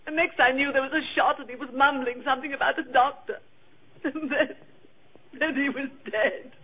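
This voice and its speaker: A woman's voice: sits high, steady tone, moderate pace, close to an everyday voice. A woman playing a haughty, defiant society murder suspect in an old radio drama, imperious and brittle. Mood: distraught. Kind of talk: 1950s radio drama acting. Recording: muffled old radio recording.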